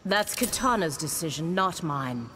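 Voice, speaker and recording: A woman's voice: low voice, expressive, measured pace, fairly bright tone. An actress playing a loyal, composed warrior woman in a video game. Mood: firm. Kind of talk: video game character dialogue. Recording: very noisy game audio.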